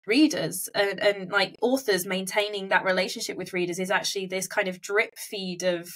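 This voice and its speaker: A woman with a medium-pitched voice hosts a casual interview. She talks reflectively, feeling her way through a point in conversational phrasing. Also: steady tone, normal speed, fairly bright tone. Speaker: podcast host, interviewer.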